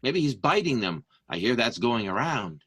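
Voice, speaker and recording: Nervous male client played by a man, sharp bright voice, lively swings, radio comedy, noisy recording. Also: medium pitch, moderate pace, slightly rough. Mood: nervous, familiar. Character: nervous, needy man hiring a bodyguard. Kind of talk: improvised radio soap acting.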